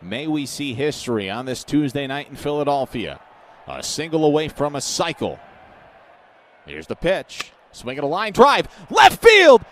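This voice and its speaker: A man's voice: on the high side, wildly swooping pitch, a little slow, fairly bright tone. A man calling a baseball game live as a radio play-by-play announcer. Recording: noisy live game broadcast with ambience.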